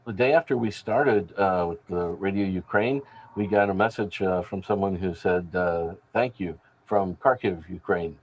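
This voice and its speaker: A man speaking as a station manager in a news interview, explaining things in a measured way. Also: low voice, rough recording. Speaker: radio station general manager.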